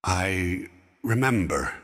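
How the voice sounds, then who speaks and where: A man playing an aging, grief-bent king who slowly recalls a lost friend in a fan audio drama. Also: sits low, lively intonation, fairly bright tone, long reverb tail, full character voice. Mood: grieving. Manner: slow, heavy reminiscing. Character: grieving aging king, stern yet sorrowful.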